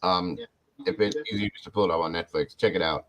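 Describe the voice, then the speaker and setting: Very low voice, man, rambling podcast host, casual chat. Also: fast. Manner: rambling, animated, talks to chat viewers.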